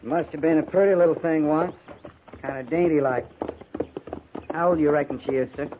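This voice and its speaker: A man's voice: fairly high pitch, moderate pace, close to an everyday voice. An actor playing a folksy, blunt cavalry sergeant who defers to his officer, in a 1950s radio Western. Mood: musing, folksy. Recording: noisy, tinny 1950s radio broadcast.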